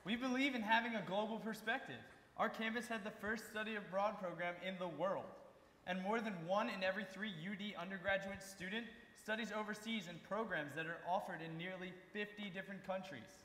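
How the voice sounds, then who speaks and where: A man's voice: sits high, fairly quick, bright, forceful tone. A young man giving a scripted stage recitation as a student performer. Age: young adult. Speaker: student performer in a readers theater. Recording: echoey noisy hall, distant stage sound.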